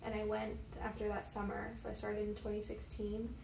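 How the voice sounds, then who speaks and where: A woman's voice: medium pitch, monotone, normal speed, vocal fry, darkish tone, echoey room, distant mic, slight background noise. A woman in her early twenties, a student, talking plainly and casually about her time in college. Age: 20s. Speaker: student interviewee. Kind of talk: casual chat.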